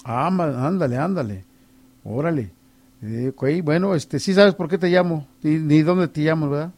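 High-voiced playful radio host, male. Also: moderate pace, a little mellow. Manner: playful, teasing, repeats phrases. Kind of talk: casual live radio giveaway chat with callers.

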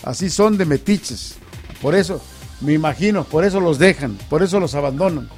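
High-voiced playful radio host, male. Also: expressive, normal speed, darkish tone, soft background music. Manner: playful, teasing, repeats phrases. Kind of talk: casual live radio giveaway chat with callers.

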